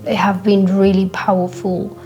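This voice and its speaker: Even-toned Spanish-accented woman, reflective. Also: mid-range voice, unhurried, muffled recording, soft background music. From born in Colombia, grew up in Spain. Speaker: podcast host. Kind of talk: personal solo podcast monologue.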